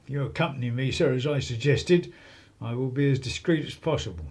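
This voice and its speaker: Husky, stolid police detective played by an actor. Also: male voice, mid-range voice, fairly quick, natural voice. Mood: firm, deadpan. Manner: deferential, formal, deadpan. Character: stolid, dutiful male police detective constable, politely unbudging. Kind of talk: radio play acting.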